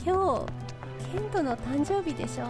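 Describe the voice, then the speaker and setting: An actress playing a spirited, caring and bossy college-age ghost girl in a Japanese voice drama, with an expressive, emphatic delivery. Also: high voice, moderate pace, music in the background. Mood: wistful. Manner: emphatic, expressive, pushy yet tender. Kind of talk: Japanese audio drama acting.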